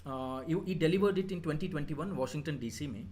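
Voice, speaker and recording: A man with an Indian accent teaching an exam coaching class, reviewing answers in an easy, halting way with many fillers and short praise. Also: fairly high pitch. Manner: relaxed, hesitant, many fillers, mixing in Hindi; brief praise of students' work.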